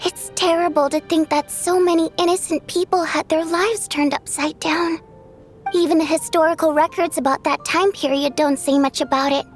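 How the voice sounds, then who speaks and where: A woman's voice: high-pitched, fairly even intonation, normal speed, natural voice. A woman voicing an earnest, tender-hearted young girl, innocent and thoughtful, in a video game dub. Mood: pensive, questioning. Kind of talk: English game dub voice acting. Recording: music under the voice.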